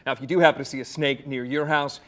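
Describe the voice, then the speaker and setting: A man's voice: mid-range voice, fairly even intonation, fast, a bit bright. An American man working as a TV news anchor, moving between desk banter and reading the news.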